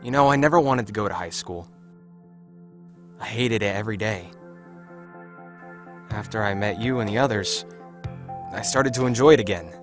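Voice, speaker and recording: A man voicing a blunt but caring male high school student, earnest, in an amateur anime fan dub. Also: normal speed, long pauses, over music, close to an everyday voice. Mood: earnest, frank.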